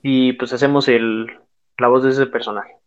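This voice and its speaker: A man with a Mexican accent, co-hosting a relaxed, slangy chat about old cartoons. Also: mid-range voice, moderate pace, highs cut off. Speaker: podcast co-host.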